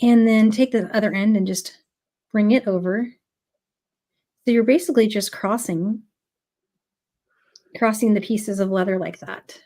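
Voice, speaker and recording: A woman's voice: medium pitch, unhurried, pauses often, dark tone. A woman with a cold teaching a live beading class, chatty and full of ums as she greets viewers. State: has a cold. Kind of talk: casual live tutorial.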